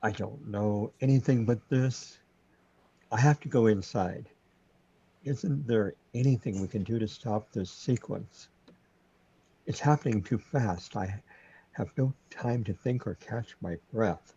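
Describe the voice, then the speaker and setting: A man playing a panicking, fretful man in a radio play, faltering and pleading, with a husky voice. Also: lowish voice, a little slow, dark tone, lo-fi sound. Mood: desperate, panicked. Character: panicking man lost in the void, desperate and fretful.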